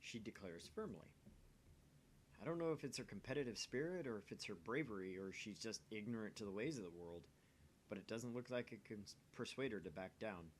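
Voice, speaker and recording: Brief: male let's player, lower voice, expressive story narration, faint rumbling noise. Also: a little fast. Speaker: let's player reading a visual novel aloud. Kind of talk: voice-acted read-through with casual asides.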